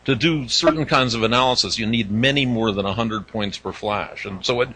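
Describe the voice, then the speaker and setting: A man asking unscripted technical questions from a conference audience, halting and repeating phrases. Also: mid-range voice, sharp tone, slight background noise, low-quality recording. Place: indoor conference room.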